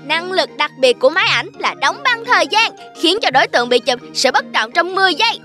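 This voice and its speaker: A woman voicing an eager, sweet little girl in an animated cartoon. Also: extremely high pitch, fairly quick, faint music behind, heavily acted. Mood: explaining.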